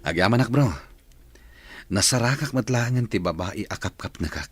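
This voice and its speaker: A man's voice: lowish voice, animated, normal speed, slightly rough, no room sound. An actor playing a devoted, engaged man in a radio drama. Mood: pleased.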